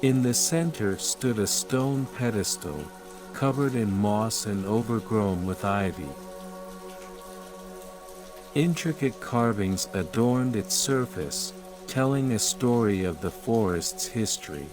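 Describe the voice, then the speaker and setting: A man narrating a scripted bedtime fairytale in a measured, soothing manner. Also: lowish voice. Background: rain and calm music.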